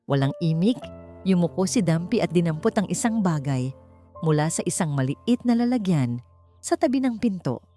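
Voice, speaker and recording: A woman from the Philippines narrating a dramatic love story in a calm, measured, emotive way. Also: on the low side. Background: faint background music.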